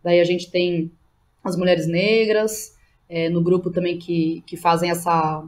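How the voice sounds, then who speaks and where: A woman's voice: fairly low pitch, little pitch movement, a little slow. A woman with a São Paulo Brazilian accent talking informally and slangily as a podcast guest, thinking aloud and often checking in with the listener. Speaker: interview guest, playwright and theatre educator. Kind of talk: casual podcast interview.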